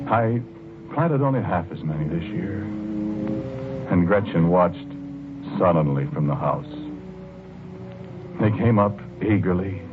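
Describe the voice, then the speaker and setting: Casting: timid, dreamy husband, low register, halting and wistful, vintage horror radio drama, tinny old recording. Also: male voice, noisy background. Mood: troubled, musing, then brooding. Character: dreamy, timid husband obsessed with his lilies. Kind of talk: 1940s radio drama acting, first-person narration and dialogue. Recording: muffled old radio recording, music behind.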